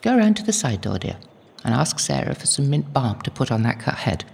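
An actress playing a devout, motherly older holy woman in an audio drama, gentle, knowing and unhurried. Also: very deep voice, sing-song, gravelly, husky, big-room sound, exaggerated voice. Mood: tender, preaching.

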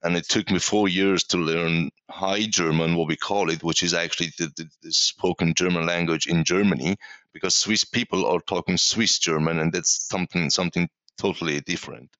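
Guy in his 40s w persian accent, low voice, searches for words, podcast guest, kinda muffled lo-fi audio. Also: steady tone, fairly bright tone, no room sound. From Iran. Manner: halting, repeats words as he searches for phrasing. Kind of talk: casual conversational interview.

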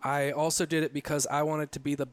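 A man in his late thirties, a photographer, talking in a relaxed interview, reflective and rambling with many fillers and restarts. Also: on the high side, fast. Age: 30s. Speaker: guest, photographer. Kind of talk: casual interview conversation.

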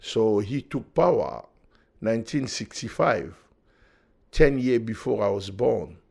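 A man, speaking as a podcast guest in halting, earnest English. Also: fairly low pitch, lively intonation, vocal fry, a little mellow, breathy edge. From Democratic Republic of Congo. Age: 40s. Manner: halting non-native English in short earnest phrases. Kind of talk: conversational interview, personal testimony.

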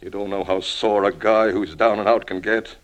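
Man acting a deep-voiced, washed-up old boozy reporter. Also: level tone, close to an everyday voice. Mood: bitter, impassioned. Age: older. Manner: halting, rambling, pleading. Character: washed-up alcoholic old crime reporter, self-pitying, wheedling, proud. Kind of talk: 1950s radio drama acting.

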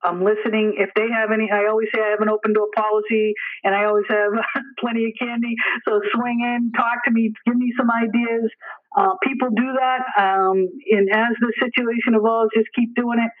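A middle-aged man with a high-pitched voice speaks over a thin, phone-like line. He explains things informally and earnestly, like an emergency services supervisor in an interview, repeating phrases for emphasis. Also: level tone, a little fast. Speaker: emergency dispatch deputy superintendent. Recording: tinny narrow phone or radio line.

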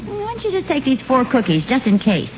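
A woman's voice: high-pitched, wildly swooping pitch, quick pace. An American woman playing a practical suburban housewife in an old-time radio sitcom, dry, teasing and matter-of-fact. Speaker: actress in a radio comedy. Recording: dull old radio broadcast, faint noise.

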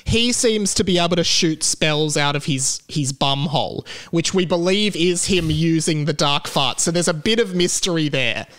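A man's voice: fairly high pitch, moderate pace, few pauses, fairly bright tone. An Australian man hosting a comedy podcast, chatting casually and bantering in a dryly mock-earnest way. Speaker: podcast host.

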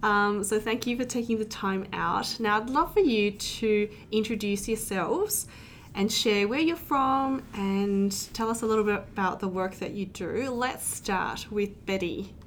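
A woman's voice: mid-range voice, a little slow, slight background noise. Woman hosting a podcast. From Australia. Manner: friendly, encouraging interviewer. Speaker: podcast host. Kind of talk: podcast interview. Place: indoors, in a shared room with guests.

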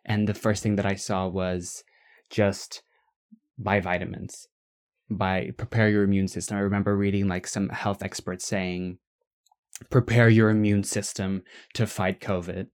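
A young man, not a native speaker of English, casually telling a rambling story as a podcast co-host, with restarts and soft laughs. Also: sits low, little pitch movement, a little slow.

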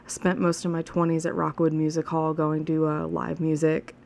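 A woman's voice: low-pitched, monotone, moderate pace, gravelly, a little mellow, a little hiss or hum. A woman in her thirties hosting a casual solo podcast, rambling and chatty, frank and swearing now and then. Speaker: podcast host. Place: alone at home.

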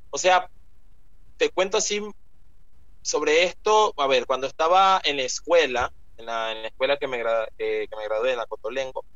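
Young male guest, mid pitch, rambling and filler-heavy, lo-fi recording. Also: a bit bright, dry recording. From Ecuador. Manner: rambling, with many fillers and restarts. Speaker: guest author. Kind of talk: casual interview talk. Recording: muffled lo-fi sound.

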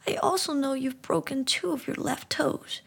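A woman voicing a small, kind male frog who speaks softly and coaxingly. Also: high-pitched, normal speed, gravelly, voice pushed up, full character voice. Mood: tender coaxing.

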